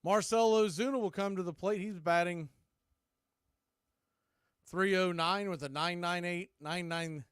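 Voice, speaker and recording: A male American baseball announcer gives live play-by-play. His voice is smooth and resonant, slightly high for a man, and recorded dry with very little room around it. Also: fairly even intonation, unhurried, pauses often, a bit bright, no echo.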